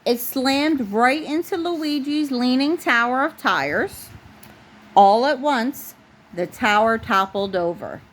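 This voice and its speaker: A woman's voice: high voice, lively intonation, slow pace, fairly bright tone, slight background noise, low-quality recording. A woman reading a children's storybook aloud as bedtime narration, as a parent would.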